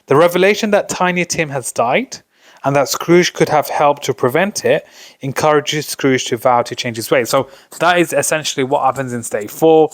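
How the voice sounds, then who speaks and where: A man's voice: medium pitch, no echo. Man teaching in a dry, close recording. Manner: instructional, poses questions then answers them, repeats stock phrases. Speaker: tutor presenting exam revision. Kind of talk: explanatory revision lesson.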